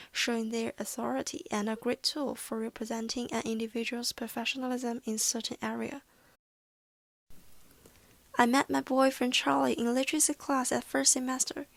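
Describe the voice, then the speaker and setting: A woman's voice: high-pitched, gravelly, no room sound. A woman in her twenties with a Chinese accent, a native Mandarin speaker, playing an earnest young international student and reading her script carefully. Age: 20s.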